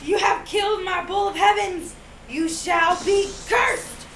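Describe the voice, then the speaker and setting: Haughty vengeful goddess, high and slow, a teen girl acting; noisy room recording. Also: fairly even intonation, raised voice. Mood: furious. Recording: echoey room from far off, noisy.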